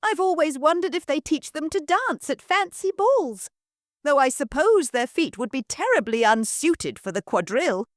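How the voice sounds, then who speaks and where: A woman voicing a whimsical, cheerful young daughter who talks brightly and chirpily. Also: sits high, very animated, normal speed, no room sound, studio quality, voice pushed up.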